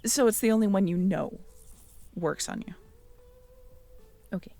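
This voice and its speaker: A woman's voice: medium pitch, unhurried, creaky, warm tone. A woman in an intimate audio roleplay, musing aloud as she reads through notes and checks back with the listener.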